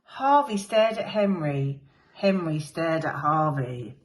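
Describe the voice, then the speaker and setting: A woman's voice: deep voice, expressive, takes it slowly, a little hiss or hum, poor audio. A woman teacher reading a picture book aloud to young children, telling the story expressively and dramatically. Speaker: infant school teacher.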